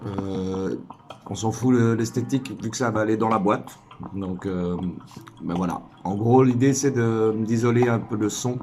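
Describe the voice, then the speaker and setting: A man's voice: lowish voice, normal speed, darkish tone, slight background noise. A man giving a casual hands-on tutorial, informal and hesitant, thinking aloud as he works. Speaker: DIY tutorial maker.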